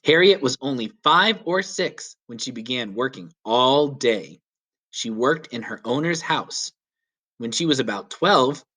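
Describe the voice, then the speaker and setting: A man reading a children's story aloud as a teacher would, with clear, deliberate, emphatic phrasing. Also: medium pitch, a bit bright. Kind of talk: children's read-aloud narration.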